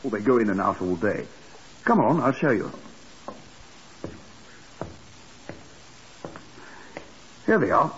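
An actor in a 1950s radio drama playing a fussy, self-important British building manager with clipped, questioning delivery. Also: male voice, fairly low pitch, very animated, brisk, fairly bright tone, a little hiss or hum. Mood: curious, fussy. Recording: dull vintage 1950s radio recording.

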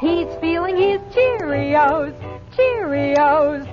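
A woman's voice: high-pitched, lively intonation, drawn-out words, some chatter behind. A woman singing a 1950s radio advertising jingle. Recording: dull recording under clear music.

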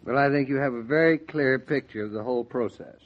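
A man's voice: mid-range voice, fairly quick, close to an everyday voice. An actor playing a casual, teasing husband in his thirties, boyishly keen, in a 1940s American radio drama. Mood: amused, easygoing. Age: 30s. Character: easygoing, teasing young husband, a keen college football fan. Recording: muffled 1940s radio recording.

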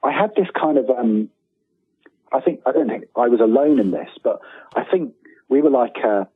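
A man's voice: medium pitch, lively intonation, normal speed, breathy edge, no room sound. A middle-aged man talking casually as an interview guest, rambling, self-deprecating and enthusiastic, over a thin phone-like line.

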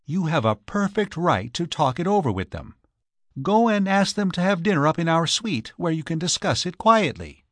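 A man's voice: medium pitch, very animated, moderate pace, few pauses, dry recording. A man voicing an eager, urgent young married woman who coaxes earnestly in an audiobook. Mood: inspired, eager. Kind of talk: audiobook character voice.

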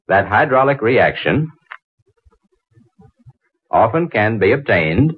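An actor playing an earnest, slightly awkward inventor with a hesitant, thoughtful delivery in 1940s radio drama style. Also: male voice, on the low side, breathy edge, full character voice. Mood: absorbed, flustered. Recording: muffled old 1940s radio recording.

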